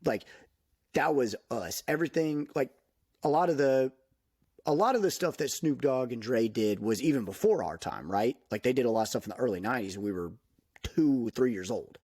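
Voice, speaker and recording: A man in his thirties talks through a casual podcast chat in a mid-pitched voice. He is upbeat and loose, and keeps dropping in "um" and "like" as he goes. The recording sounds close and dry, with no room echo. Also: expressive, moderate pace, mellow. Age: 30s. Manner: chatty and upbeat, full of "um" and "like" fillers. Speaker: podcast co-host.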